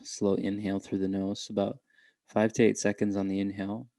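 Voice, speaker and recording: A man guiding a meditation in a gentle, unhurried way, leaving long pauses between short phrases. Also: on the low side, flat delivery, no room sound. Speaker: meditation guide. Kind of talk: guided meditation.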